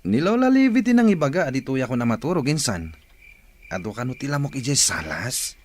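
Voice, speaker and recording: A man playing a polite young villager in a radio drama, pleading gently with his elders. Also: medium pitch, big pitch swings, fairly quick, no echo. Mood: reassuring. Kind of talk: Ilocano radio drama acting.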